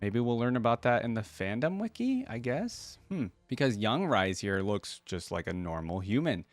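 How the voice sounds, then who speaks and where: A man's voice: medium pitch, big pitch swings, normal speed, dry recording. A man hosting a reaction video, commenting casually and informally as he thinks aloud.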